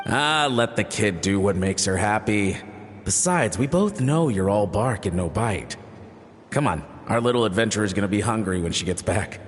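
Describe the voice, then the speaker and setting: An actor voicing an easygoing, indulgent father in a game, relaxed and gently teasing. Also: on the low side, moderate pace, close to an everyday voice. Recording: game audio with long reverb and faint noise.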